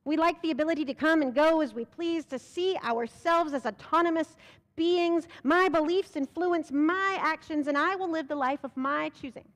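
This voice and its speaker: A woman's voice: sits high, moderate pace, a bit bright, big-room sound. A young American woman pastor preaching a sermon from the pulpit of a church sanctuary, asking rhetorical questions and building to emphatic, rising lines. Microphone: pulpit microphone.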